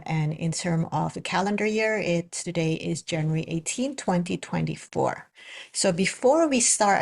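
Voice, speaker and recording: A woman with an Indonesian accent, a spiritual coach and psychic reader, talking casually to her viewers with many pauses and fillers. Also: lowish voice. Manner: hesitant, many fillers and restarts. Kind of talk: casual spoken video message.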